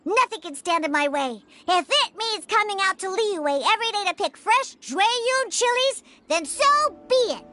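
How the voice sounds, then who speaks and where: A woman's voice: very high-pitched, lively intonation, moderate pace, hardly any pauses, bright, forceful tone, slight background noise, exaggerated voice. A woman voicing a feisty little cat-girl bartender in a video game, with an emphatic, grumbling, childlike delivery. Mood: indignant. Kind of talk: video game character acting.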